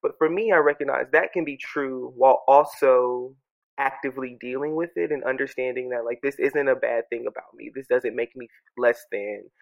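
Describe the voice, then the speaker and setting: A man with a stuffy, congested voice talks softly and earnestly at home, musing in loops and often restarting his thoughts. His tone has a gravelly fry at the edges and sits in a middle range. The recording through his home microphone sounds a bit dull and lo-fi. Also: mid-range voice. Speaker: podcast host journaling aloud. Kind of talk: reflective personal audio journal. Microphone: own studio microphone.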